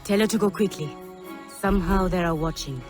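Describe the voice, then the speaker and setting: Sorrowful middle-aged mother, played by an actress: low, tender, formal, game drama, music behind. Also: fairly quick, natural voice. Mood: cautious, urgent. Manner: solemn, formal phrasing. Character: tender, sorrowful long-absent mother, freed woman in exile. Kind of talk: dramatic video game cutscene acting. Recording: background music.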